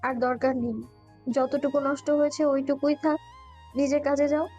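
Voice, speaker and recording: A lovestruck, trusting young woman voiced by an actress in an audio love story. Her voice is very high and fairly flat, a little dull in sound, with music underneath. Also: normal speed, close to an everyday voice. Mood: hurt.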